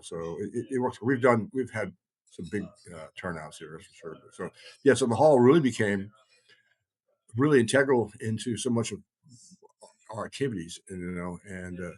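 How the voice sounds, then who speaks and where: Male co-host with a low, rough-edged husky voice, halting and conversational, thinking aloud. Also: darkish tone. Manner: halting, circling back, repeats himself. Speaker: podcast co-host, heathen group leader. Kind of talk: casual rambling chat.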